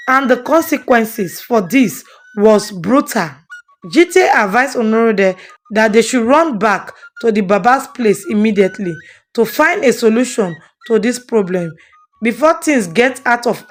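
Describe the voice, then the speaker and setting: Woman narrating a dramatic moral story, highish voice, music playing behind her. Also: lively intonation, measured pace. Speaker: storyteller and channel host.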